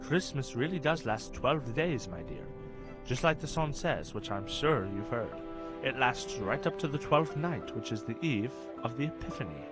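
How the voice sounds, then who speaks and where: A man acts a learned, avuncular saint guiding a child in an audio drama. His mid-range voice is animated and formally instructive, with music playing behind. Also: mid-range voice, moderate pace, natural voice, poor audio. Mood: kindly, instructive.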